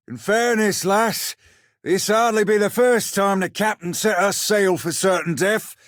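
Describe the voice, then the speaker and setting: An actor playing a small, roguish, salty pirate shiphunter in a video game, with a husky, rough voice and wry nautical slang. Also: male voice, high-pitched, no echo, studio quality. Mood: uneasy, irreverent. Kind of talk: video game character voice lines.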